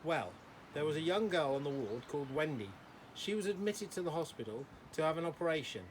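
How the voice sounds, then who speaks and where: A man's voice: on the high side, expressive, brisk, slight background noise, close to an everyday voice. A man voicing a kindly old hospital porter in a storybook, courteous and fond of telling tales. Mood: reminiscing. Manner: courteous, addresses children formally.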